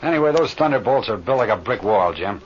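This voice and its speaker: A man's voice: fairly low pitch, animated, fast talker, close to an everyday voice. A man playing a seasoned, plain-spoken flight leader in an old radio drama, on a muffled, hissy recording. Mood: troubled, serious. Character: seasoned male flight leader, steady, folksy mentor.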